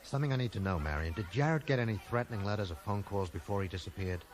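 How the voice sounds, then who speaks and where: Low, wary, sardonic American man, acted. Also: animated, fast, close to an everyday voice. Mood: tense, intent. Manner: dry, guarded, sarcastic. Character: wary, sardonic American bookseller caught up in intrigue. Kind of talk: radio drama acting. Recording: faint hiss on old radio recording.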